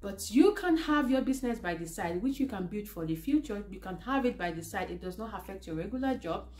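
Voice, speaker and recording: A woman from Nigeria giving an earnest, emphatic personal business testimonial and pitch. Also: animated, darkish tone. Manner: earnest, emphatic, slows for stress. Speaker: business presenter.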